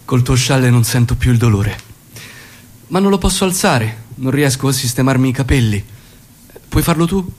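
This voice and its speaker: An actor playing a charismatic, affectionate revolutionary commander with an expressive, gallant way of talking in a stage drama. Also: male voice, medium pitch, normal speed, close to an everyday voice. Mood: tender.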